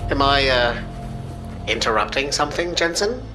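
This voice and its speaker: An actor playing a snarky, self-important American tech expert in a video game, with a precise, sardonic delivery. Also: male voice, medium pitch, sing-song, normal speed, natural voice. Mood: needling, smug. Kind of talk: video game cutscene acting. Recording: loud music behind. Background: music.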